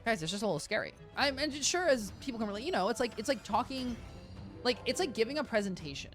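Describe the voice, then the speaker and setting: A game streamer with a high, squeaky voice talks to chat in a loose, rambling way, starting sentences over and correcting themselves. Their pitch jumps up and down a lot. Soft game music plays quietly underneath. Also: big pitch swings, quick pace. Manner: rambling, self-correcting and chatty, often addresses chat as guys. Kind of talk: casual live-stream chat while gaming. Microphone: desk mic with compressor.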